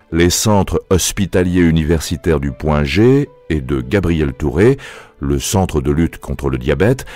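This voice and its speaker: A man plays a calm cartoon doctor and health explainer. His delivery is measured and instructive, yet very varied in intonation. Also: low-pitched. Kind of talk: educational cartoon narration and acting.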